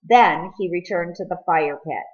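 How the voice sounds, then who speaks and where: A woman reading a novel aloud as expressive storybook narration. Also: fairly low pitch, measured pace, muffled recording. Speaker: audiobook reader.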